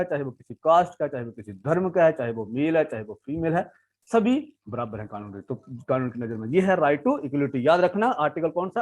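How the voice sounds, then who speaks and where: A high-pitched man with an Indian accent teaching a civics class. He asks questions out loud, answers them himself, and slows down to read the English phrases. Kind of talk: explaining a civics lesson.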